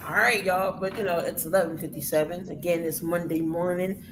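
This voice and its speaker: A man hosting a sports morning show, his voice hoarse and worn out. He talks in a loose, rambling, folksy way. The recording carries a clear wash of background noise. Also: high-pitched, fairly even intonation, fairly quick. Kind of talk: casual sports talk show sign-off.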